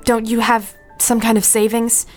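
Actress as brittle pleading wife, a bit drunk, scared, radio drama style w/ music under. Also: female voice, a little high, completely flat delivery, moderate pace, close to an everyday voice. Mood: pleading, secretive. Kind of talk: audio drama acting.

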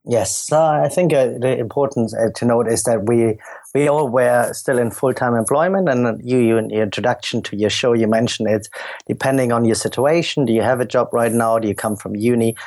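A man's voice: medium pitch, fairly quick, a little mellow. A man from Germany with a German accent, a startup founder explaining his business earnestly in an interview. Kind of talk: podcast interview.

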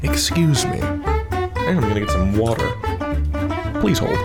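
A man's voice: mid-range voice, very animated, measured pace, over loud music. A man hosting a podcast, chattering casually to his editor in a goofy, self-mocking way, slipping into silly voices. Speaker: podcast host.

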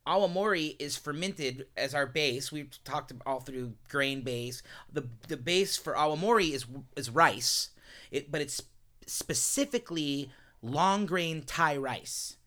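A man's voice: fairly high pitch, measured pace, bright, forceful tone. A man hosting a casual studio talk show, eagerly interjecting with background history. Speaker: host.

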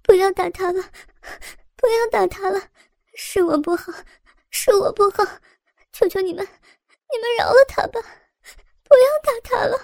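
A woman's voice: extremely high pitch, moderate pace, gravelly, pauses often, darkish tone, dry recording, studio quality, pitched above the performer's own voice. A woman voicing a desperate young woman who cries and begs for mercy in a storybook reading. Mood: tearful pleading. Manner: crying, begging.

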